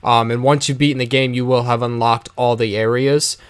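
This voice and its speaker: American male game-guide narrator, conversational and loose, clean smooth tone, very dry recording. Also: mid-range voice, fairly even intonation, brisk, no room sound. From United States. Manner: rambling, offhand, conversational. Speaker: video game guide host. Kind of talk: casual game walkthrough commentary.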